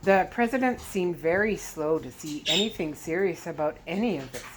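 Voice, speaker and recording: A woman reading a children's adventure novel aloud, expressive with the dialogue. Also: fairly low pitch, normal speed. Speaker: story reader. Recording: clear background noise.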